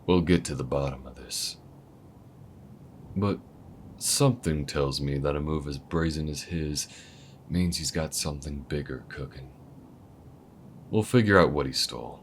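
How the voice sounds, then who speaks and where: A man voicing a calm, weathered alien gunslinger who mentors the listener, speaking low-key and laid-back, sometimes near a whisper, in an audio roleplay. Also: unhurried, long pauses, slight background noise. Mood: steady, easygoing. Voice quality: very deep, low-key drawl.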